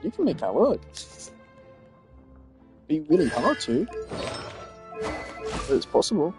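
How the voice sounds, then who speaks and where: A man's voice: on the high side, wildly swooping pitch, slow pace, over music. A man giving casual, rambling gaming commentary, thinking aloud as a streamer. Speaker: game streamer.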